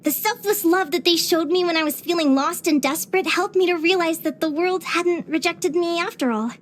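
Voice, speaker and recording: A young female adventurer from a fantasy video game. Her voice sits very high and girlish. She speaks with earnest, expressive wonder, like a cheerful naturalist who is curious about the whole world. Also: a little fast, slight background noise. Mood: earnest, reflective. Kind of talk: video game character voice lines.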